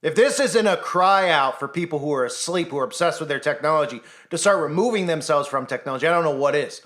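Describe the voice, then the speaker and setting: A man's voice: fairly high pitch, expressive, fast talker, fairly bright tone. A man talking through a conspiracy commentary monologue, insistent and rhetorical, now and then acting out imagined voices. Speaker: commentary channel host.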